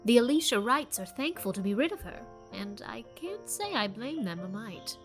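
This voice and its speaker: A woman's voice: a little high, very animated, normal speed, soft background music. A woman voicing a stern, blunt older woman who speaks in a firm, emphatic way.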